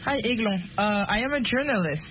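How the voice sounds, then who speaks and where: A woman's voice: mid-range voice, animated, brisk, lo-fi sound, a little hiss or hum. A woman in her twenties asking friendly, simple questions in a chat. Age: 20s. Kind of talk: casual chat.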